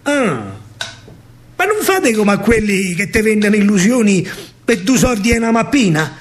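A man's voice: high voice, very animated, moderate pace. A man with a Roman accent performing a dramatic, declamatory verse monologue in colloquial speech, as a solo theatre storyteller.

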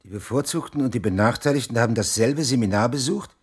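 An actor playing an impatient tabloid journalist with a terse, demanding manner in a radio play. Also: male voice, mid-range voice, quick pace, husky. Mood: probing. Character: impatient male tabloid journalist chasing a headline. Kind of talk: naturalistic radio play acting.

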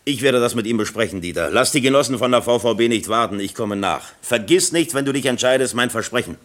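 A man's voice: medium pitch, lively intonation, fast, fairly bright tone, close to an everyday voice. A man playing a brusque, authoritative East German factory party secretary in a German radio play, commanding and lecturing in manner. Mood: brisk, commanding.

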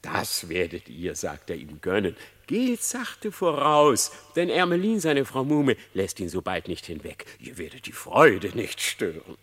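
An actor playing a cunning male fox, a smooth-talking trickster, in a classic verse radio play. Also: fairly low pitch, huge pitch swings, a little slow, hardly any pauses, a bit bright, full character voice. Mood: sly. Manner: wheedling, persuasive, theatrical verse delivery.

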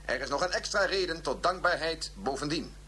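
An actor playing a stiff professor giving a stilted public address in a Dutch radio drama, with odd emphases and a nervous little cough. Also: male voice, fairly high pitch, expressive, fairly quick, bright, forceful tone, slight background noise. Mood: stiffly formal. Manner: stilted, odd emphases, frequent throat clearing. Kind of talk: Dutch science fiction radio drama acting.

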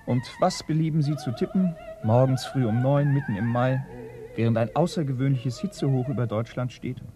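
An actor in a radio play as a talkative police assistant, speaking in a wordy, mock-formal, ironic way. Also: male voice, medium pitch, moderate pace, music in the background, lightly acted. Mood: ironic, needling. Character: talkative, needling, theatrical police detective's assistant.

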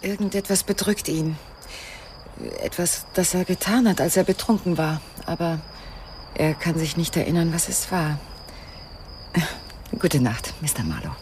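A sorrowful, composed wife in a radio crime play. Her voice sits very low, moving in measured, wistful phrases with small hesitations. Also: animated, a little mellow, slight background noise. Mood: subdued, worried. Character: melancholy, composed married woman mourning lost first love. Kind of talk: German radio crime drama acting.

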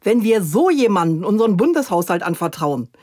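An actress playing a formal, measured chancellor answering an interview in a political satire. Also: female voice, medium pitch, sing-song, darkish tone, a little hiss or hum, close to an everyday voice. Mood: measured, stern. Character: measured, statesmanlike female chancellor giving an interview. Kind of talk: satirical radio play interview.

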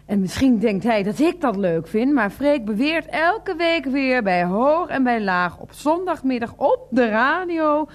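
A sarcastic wife. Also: female voice, high voice, expressive, unhurried, few pauses. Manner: sarcastic, sharp. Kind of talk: 1990s radio comedy drama.

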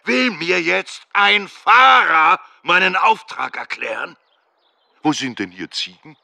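Sneering, slow-talking cruel soldier. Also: male voice, sits high, animated, vocal fry, sharp tone, no echo, exaggerated voice. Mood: menacing, mocking. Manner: taunting, drawn-out, condescending. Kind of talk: German radio drama acting.

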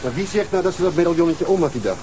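Actor doing a skeptical young dutch cop, noisy tv audio. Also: male voice, fairly high pitch, lively intonation, very fast, natural voice. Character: younger male detective sidekick, skeptical. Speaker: detective.

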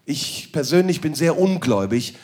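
A man's voice: fairly high pitch, expressive, normal speed, darkish tone. A man doing a crude, sardonic stand-up monologue that moves into ranting. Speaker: stand-up comedian.

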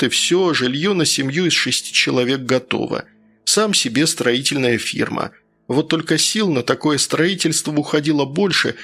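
A man's voice: mid-range voice, fairly bright tone. A man narrating a first-person story as a scripted audiobook reader. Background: faint background music.

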